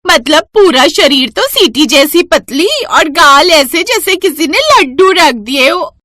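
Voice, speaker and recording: A woman's voice: sits high, animated, a little slow, pushed and bright, no echo, studio quality, pitched above the performer's own voice, exaggerated voice. A woman voicing a gossipy village neighbour in a cartoon story, exclaiming and teasing with exaggeration. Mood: amused mocking.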